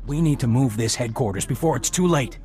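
An actor as urgent, mid-pitched male agent. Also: expressive, fairly quick, darkish tone, close to an everyday voice. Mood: urgent, worried. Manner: urgent, insistent. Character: agitated, pessimistic male intelligence agent. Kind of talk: video game voice acting.

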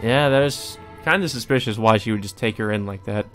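A man's voice: on the low side, a little fast, fairly bright tone. A man giving casual, blunt, profane commentary as a let's player. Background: game music.

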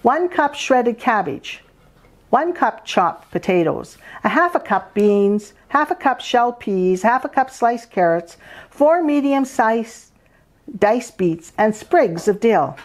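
A woman's voice: on the high side, very animated, slow. An older woman from Canada, an author and host, reading aloud and presenting a home cooking demonstration. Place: indoors at a dining table in a home.

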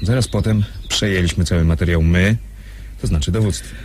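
Brisk, impatient officer for radio drama, male actor, low-pitched, curt, over audible background noise. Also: close to an everyday voice. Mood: businesslike. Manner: curt, businesslike. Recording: noisy recording with background ambience.

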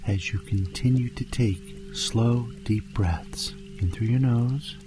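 A man narrating a guided meditation in a calm, soothing, hypnotic and deliberate manner. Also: mid-range voice. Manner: calm, hypnotic, deliberate, often dropping into breathy whispers. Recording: music bed behind voice.

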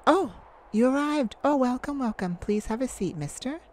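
A woman's voice: high-pitched, animated, moderate pace, darkish tone. A woman voicing a courteous, easily flustered, ageless celestial afterlife caseworker in an ASMR roleplay, hesitant and apologetic. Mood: welcoming, apologetic. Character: polite, flustered, thousands-year-old celestial afterlife caseworker.

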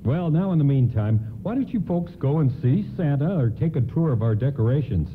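A man's voice: a little high, sing-song, fairly quick. Courteous, reassuring store doorman. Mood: helpful. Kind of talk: radio play acting. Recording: thin phone-like band, noisy radio broadcast.

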